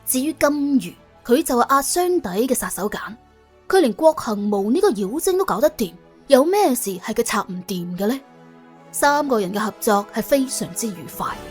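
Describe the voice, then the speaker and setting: A Cantonese woman tells a crime story with wry humour and colloquial flair. Her voice is on the high side and swings widely in pitch. Light music runs underneath the narration. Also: big pitch swings, moderate pace, slightly rough. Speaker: audiobook narrator.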